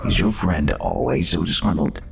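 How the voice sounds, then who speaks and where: A man's voice: sits low, very animated, normal speed, vocal fry, faint music behind, full character voice. A man playing a learned, fascinated professor in an audio drama, speaking formally and precisely. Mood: intrigued, formal. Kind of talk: fan audio drama acting.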